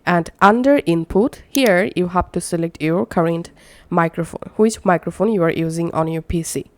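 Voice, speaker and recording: A woman with a slightly low voice narrates a software tutorial. She gives instructions one step at a time, as the presenter of a screen-recorded how-to. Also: moderate pace, no echo. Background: screen-recording voice-over.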